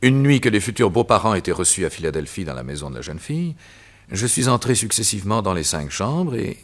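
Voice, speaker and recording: An actor voicing a gloating, theatrical villain who is a doctor and hypnotist in a vintage radio drama. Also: male voice, lowish voice, big pitch swings, brisk. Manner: sneering, theatrical, laughs at his own cruelty.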